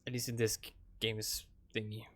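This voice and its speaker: A man's voice: fairly low pitch, level tone, normal speed, fairly bright tone, no room sound. A young man with a Danish accent, rambling casually with lots of fillers as he wraps up a game playthrough for his channel. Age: young adult. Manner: rambling, hesitant, with many fillers and restarts. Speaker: gaming channel host. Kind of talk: casual commentary wrapping up a playthrough. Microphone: desk microphone.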